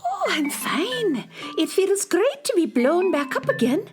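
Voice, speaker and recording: Very high, swooping little fairy. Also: female voice, sing-song, a little slow, music in the background, full character voice. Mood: chirpy, friendly. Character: tiny red-haired female fairy, chirpy, busy, friendly. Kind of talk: children's audio drama acting.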